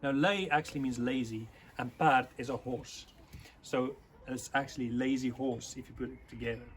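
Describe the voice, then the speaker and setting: A man whose first language is Afrikaans, from South Africa, chatting casually to a youth club and teaching words slowly. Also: medium pitch, slight background noise. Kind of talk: informal online talk to children.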